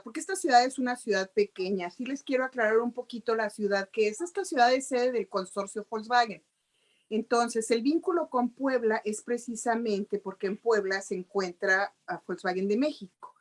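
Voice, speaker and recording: A woman's voice: on the high side, normal speed. A middle-aged Mexican woman with a Mexican Spanish accent, answering interview questions over a video call in a chatty, explanatory way. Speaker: guest journalist.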